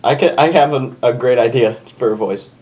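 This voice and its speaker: A man's voice: medium pitch, a little fast, a little mellow, lo-fi sound, a little hiss or hum. A man reading aloud informally and comically with banter, giggly, playful and prone to slips. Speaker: fan fiction co-reader.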